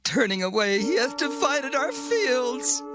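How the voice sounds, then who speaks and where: A man's voice: very high-pitched, very animated, unhurried, heavily acted. A man playing a grieving mourner in dramatized scripture, drawing out a mournful, singsong lament over music. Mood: wailing grief. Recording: music under the voice.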